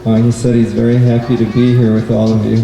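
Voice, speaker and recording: Male children's storyteller, very mellow dark tone, unhurried, strong echo, distant mic. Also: mid-range voice, completely flat delivery, gravelly. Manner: deliberate, asks the children questions. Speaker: puppet show presenter and storyteller. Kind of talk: children's storytelling to a live audience. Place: indoor bookstore event, live. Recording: echoey room, distant mic, faint noise.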